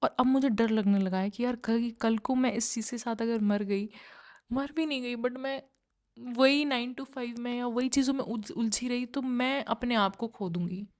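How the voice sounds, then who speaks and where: A woman's voice: a little high, normal speed, a little mellow. A young woman from India talking straight to her viewers in a chatty, earnest way, switching between Hindi and English. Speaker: vlogger. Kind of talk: casual talking-to-camera vlog.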